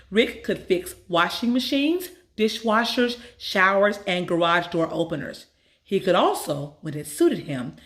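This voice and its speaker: A woman reading a children's story aloud as a storyteller, with measured, expressive phrasing and dramatic emphasis. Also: on the low side. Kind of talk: expressive scripted story narration.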